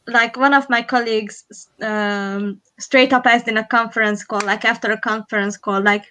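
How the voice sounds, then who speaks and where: Woman, hungarian accent, higher-pitched voice, casual rambling chat, restarts a lot. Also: fairly even intonation, moderate pace, sharp tone, slight background noise. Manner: rambling, with frequent restarts and laughs. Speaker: live stream co-host. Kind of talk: casual chat while doing makeup.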